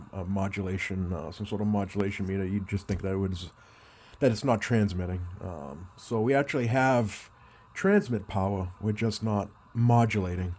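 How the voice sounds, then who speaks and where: A man with a fairly low voice talks through a hands-on repair like a technician at the bench. He is casual and hesitant, working things out as he speaks. Faint noise sits behind him in the room. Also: a little mellow. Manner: hesitant, thinking aloud. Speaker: CB radio repair technician demonstrating. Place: indoor workbench. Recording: clear background noise.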